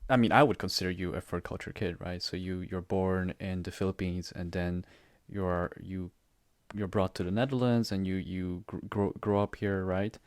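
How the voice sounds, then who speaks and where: A young man hosting a casual podcast interview, halting and restarting his words with many fillers. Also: sits low, fairly even intonation, no echo. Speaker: podcast host, university student. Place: plain indoor room.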